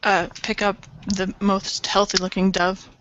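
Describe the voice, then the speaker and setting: Female gamer, middle pitch, casual in-game narration, lo-fi group session sound. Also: flat delivery, a little slow, a bit bright. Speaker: tabletop game player. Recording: dull, lo-fi group session recording.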